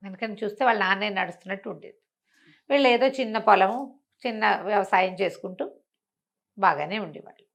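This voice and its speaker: Expressive woman telling a village bedtime tale. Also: medium pitch, vocal fry. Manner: expressive, acts out villagers' exclamations and asks rhetorical questions. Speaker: storyteller. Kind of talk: oral bedtime storytelling, conversational.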